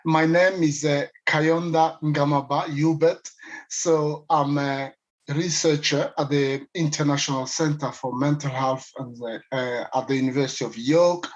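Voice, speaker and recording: A man's voice: a little high, steady tone, a little slow, lo-fi sound. Hesitant man chairing an online panel. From Congo. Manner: hesitant, many fillers and restarts. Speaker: panel chair, mental health researcher.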